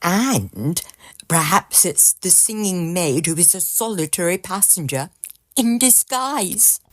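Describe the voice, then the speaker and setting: Actress as low-voiced, suspicious young woman. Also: lively intonation, takes it slowly, no echo, clean studio sound. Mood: intrigued. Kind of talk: period audio drama acting.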